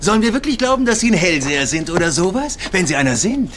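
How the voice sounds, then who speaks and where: An actor in a German-dubbed TV comedy playing a scornful poker player, challenging and skeptical. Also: male voice, sits high, very animated, fast talker, a bit bright, slight background noise. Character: gruff, scornful male poker player, accused cheat. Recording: faint music behind the voice.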